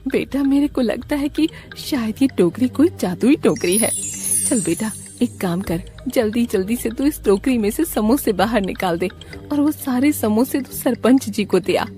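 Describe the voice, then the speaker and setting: A woman's voice: fairly high pitch, expressive, normal speed, dark tone, voice pushed up, lightly acted. A devout, motherly village woman played by a female narrator speaks to her grown son in a cartoon tale. She sounds coaxing and caring, with light music underneath. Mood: urging. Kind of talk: cartoon story dialogue.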